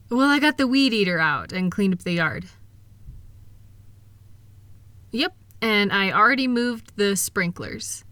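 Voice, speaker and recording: A woman playing a kind, capable wife who gently teases and reassures a partner in an intimate comfort audio roleplay. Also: medium pitch, no room sound. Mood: cheerful, affectionate. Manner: reassuring, gentle, teasing, affectionate. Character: kind, upbeat, capable wife soothing her insecure partner.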